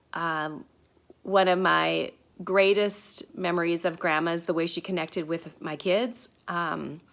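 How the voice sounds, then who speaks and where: An adult woman from Minnesota sharing memories in a personal tribute, soft-spoken with frequent ums. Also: fairly low pitch. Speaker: family member sharing memories.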